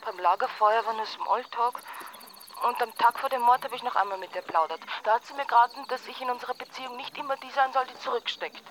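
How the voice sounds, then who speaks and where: A woman's voice: fairly high pitch, fast, a bit bright, a little hiss or hum, close to an everyday voice, low-quality recording. An actress playing a worried, easily exasperated girlfriend with an Austrian accent in a naturalistic radio drama. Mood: subdued, recounting. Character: Susi, worried, exasperated girlfriend of an amateur sleuth.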